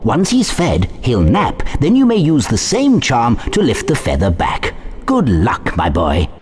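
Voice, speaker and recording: A genial old-fashioned shopkeeper talks with hugely animated swoops in a medium-pitched voice. He is theatrical, effusive and very polite, as a video game character. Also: measured pace. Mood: genial, instructive.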